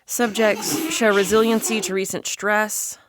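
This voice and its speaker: A woman's voice: mid-range voice, steady tone, natural voice. Woman acting a clinical researcher, noisy recording. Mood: detached, measured. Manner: formal, deliberate, clipped reporting. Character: clinical, detached researcher logging test subjects. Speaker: audio drama actor. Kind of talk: scripted audio log.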